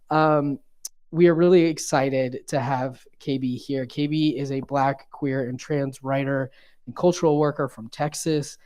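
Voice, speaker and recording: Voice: medium pitch, darkish tone. A host giving a casual, upbeat welcome and speaker introductions at a live bookstore event, with some fillers.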